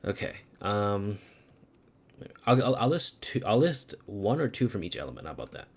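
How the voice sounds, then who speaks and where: Low-voiced man rambling on a game stream. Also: big pitch swings, moderate pace, husky. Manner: rambling, thinking aloud, casually sweary. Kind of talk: casual livestream chat over gameplay. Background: video game music.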